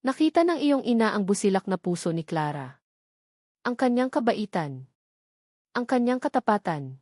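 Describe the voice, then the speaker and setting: Woman voicing a gentle, grave old father. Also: medium pitch, sing-song, moderate pace, no echo, studio quality. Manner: soft-spoken, heavy-hearted. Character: gentle, grave elderly wealthy father, Don Ricardo.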